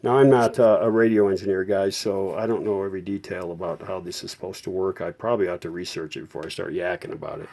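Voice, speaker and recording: A man hosting an informal repair tutorial, explaining technical details off the cuff in a halting way and often correcting himself. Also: sits low, fairly even intonation, a little mellow. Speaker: host of a vintage radio repair tutorial. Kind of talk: unscripted hands-on technical explanation.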